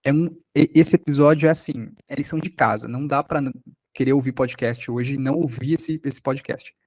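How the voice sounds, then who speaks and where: A man's voice: mid-range voice, a little fast, a little mellow, no room sound. Brazilian man teaching, in a dry recording. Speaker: workshop instructor. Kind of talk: informal online lecture with examples.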